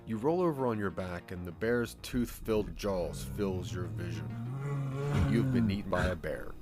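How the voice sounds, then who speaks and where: Male narrator, fairly deep; suspenseful, measured storytelling for audio drama. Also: expressive, over loud music.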